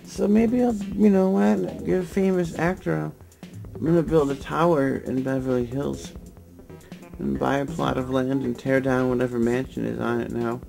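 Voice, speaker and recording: Sleepy mumbling young man, gravelly. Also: medium pitch, normal speed, faint music behind. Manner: drowsy, mumbling, deadpan with sudden laughs. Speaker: vlogger. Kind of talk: rambling late-night webcam monologue. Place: lying on a floor in a room indoors.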